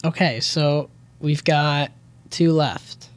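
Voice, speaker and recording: A man's voice: on the high side, steady tone, slow pace, clear, resonant tone. A man hosting a casual interview podcast, chatting in an easygoing way and often asking "you know what I mean". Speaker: podcast host and beat producer.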